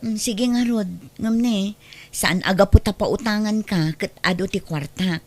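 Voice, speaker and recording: A woman's voice: medium pitch, unhurried, a little mellow, close to an everyday voice. A woman plays a thrifty neighbor with a plain mid-range voice. She speaks warily and reluctantly whenever money comes up. The acting is everyday, as in a spoken audio drama. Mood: wary. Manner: wary, reluctant.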